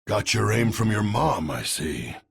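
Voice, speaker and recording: An actor playing a grizzled older American soldier vigilante in a video game, weary, stern and terse, with a gravelly rasp. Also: male voice, fairly low pitch, very animated, a little slow, fairly bright tone, full character voice. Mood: gruff, reflective. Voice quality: gravelly, raspy. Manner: terse, hard-bitten. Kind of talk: video game character voice acting.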